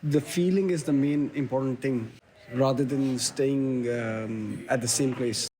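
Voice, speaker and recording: A man from Pakistan speaks haltingly, searching for his words. His voice sits in a middle range. Faint noise is heard behind him. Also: medium pitch, darkish tone, poor audio. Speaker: interviewee.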